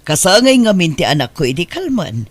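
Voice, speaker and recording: An actress playing a generous, well-off madam in an Ilocano drama, gracious and unhurried, with an Ilocano Filipino accent. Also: female voice, on the low side, very animated, husky, dry recording. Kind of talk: Ilocano radio-style drama acting.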